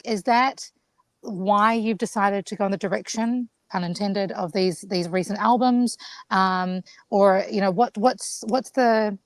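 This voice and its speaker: A woman from New Zealand, a keynote speaker, telling stories in an animated, expressive way as she asks a question. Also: medium pitch, no echo. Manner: animated, expressive storyteller, many restarts. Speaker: guest co-host, keynote speaker. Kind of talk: conversational interview.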